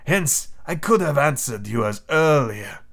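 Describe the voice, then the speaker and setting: Guy putting on a proud worldly sea captain for an audiobook, wandering foreign accent, slow formal delivery, stern and aloof. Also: mid-range voice, expressive, vocal fry, fairly bright tone. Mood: calm, precise. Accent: shifting, inconsistent foreign accent. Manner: deliberate, formal, measured. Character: worldly, aloof male submarine commander, calm but wronged. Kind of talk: audiobook character voice.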